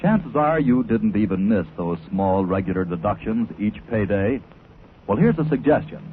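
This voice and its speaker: An American man announcing on 1940s radio, reading a scripted pitch in a polished, persuasive way. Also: slight background noise. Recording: tinny 1940s radio broadcast.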